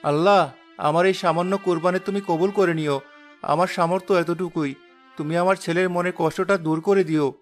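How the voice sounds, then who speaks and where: A man voicing a poor, devout, gentle village father in a cartoon moral drama, speaking earnestly and tenderly. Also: sits high, fairly even intonation, fairly quick, soft background music, close to an everyday voice. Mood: tender, prayerful.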